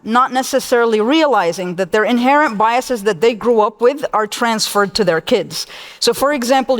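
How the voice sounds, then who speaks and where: A woman with an American accent giving an explanatory answer as a panelist. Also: on the high side. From United States. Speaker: panelist, space sector executive. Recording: reverberant conference hall.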